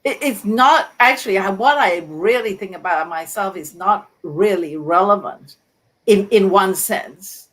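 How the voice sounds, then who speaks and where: A woman speaks earnestly on an online panel in a middle-pitched voice. She stresses and repeats her key points. Her voice comes through a video call. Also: unhurried, a bit bright, poor audio. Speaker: panelist, book author. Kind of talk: live panel discussion.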